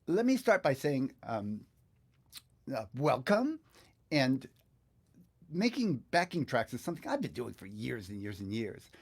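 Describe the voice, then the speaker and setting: American guy in his 60s, middle pitch, easygoing guitar teacher chatting thru a livestream tutorial. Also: very animated, measured pace, vocal fry, long pauses, husky. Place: small indoor home studio.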